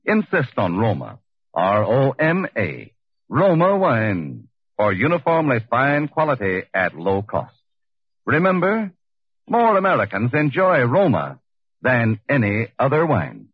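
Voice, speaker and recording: Old time radio ad guy, huge up and down pitch, polished salesman delivery, dry muffled recording. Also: wildly swooping pitch, measured pace, husky, no echo. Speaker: radio commercial announcer. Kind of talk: 1940s radio ad read.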